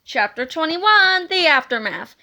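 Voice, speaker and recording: A woman narrating a children's book aloud in an expressive, dramatic way. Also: extremely high pitch, measured pace.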